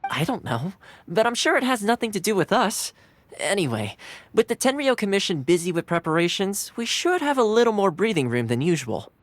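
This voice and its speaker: A man's voice: high voice, sing-song, brisk. An actor playing a cheerful young male retainer in a video game, easygoing and polite, with a playfully boastful streak. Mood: amiable. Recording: game audio with soft music behind.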